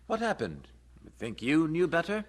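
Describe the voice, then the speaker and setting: An actor playing a gruff, scolding older man who is exasperated with youngsters, in a radio drama. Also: fairly high pitch, huge pitch swings, normal speed. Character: grumbling, scolding older man, dismissive of youth.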